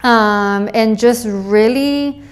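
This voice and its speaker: A woman's voice: fairly high pitch, drawn-out words. A woman, a university administrator, speaking candidly and reflectively in a sit-down interview, recorded on a lapel mic in an office. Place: indoor office.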